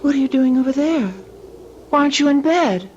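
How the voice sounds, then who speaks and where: An actress playing an anxious, questioning wife in an old-time radio mystery, breathless and exclamatory. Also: female voice, high-pitched, lively intonation, fairly quick, a little mellow. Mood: concerned. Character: anxious, wide-eyed young wife in a mystery. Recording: muffled, noisy old radio recording.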